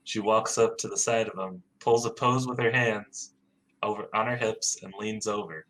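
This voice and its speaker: A man's voice: fairly low pitch, fairly even intonation, normal speed, a bit bright, rough recording. A man who hosts a podcast, reading stage directions aloud in a plain, matter-of-fact way.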